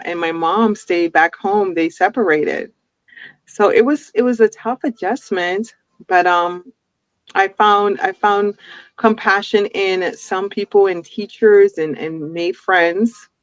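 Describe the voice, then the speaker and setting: A woman's voice: on the low side, smooth, no echo. A woman in her forties from Jamaica, with a mix of Jamaican and Brooklyn New York accents, chatting as a guest on a video call and laughing often. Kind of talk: casual interview over a video call. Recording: dull lo-fi video call audio.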